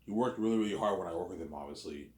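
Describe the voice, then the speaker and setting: Deep-voiced rambling man in his thirties. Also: racing, a little mellow. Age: 30s. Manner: repetitive, keeps adding "obviously" and "man". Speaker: vlogger. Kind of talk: rambling personal monologue. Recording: roomy echo.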